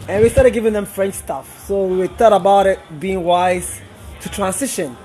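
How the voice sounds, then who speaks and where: High-voiced young man from Ghana. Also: moderate pace, a little mellow, faint music behind. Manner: friendly, explanatory, some repeated words. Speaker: event coordinator and guest services manager. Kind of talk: interview guest. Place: upstairs lounge of a restaurant.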